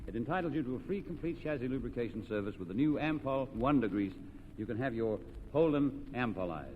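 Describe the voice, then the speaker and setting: A man's voice: mid-range voice, lively intonation, a little fast. A man hosting an old-time radio quiz, jovial and teasing, often repeating answers back to his contestants. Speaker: quiz show host. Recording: dull, noisy old radio recording, hall reverb.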